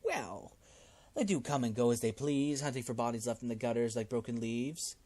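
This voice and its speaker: A man's voice: mid-range voice, moderate pace, a little mellow, lightly acted. A man voicing a fussy, genteel old cannibal cult leader in a game, with a polite, wordy, fluttery manner. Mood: indignant, flustered.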